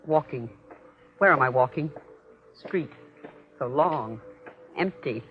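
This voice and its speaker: An actress playing a frightened, exhausted housewife in 1940s radio horror, with halting, repetitive, haunted delivery. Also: female voice, extremely low pitch, animated, faint music behind, heavily acted. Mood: dreamlike panic. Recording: dull old radio drama recording.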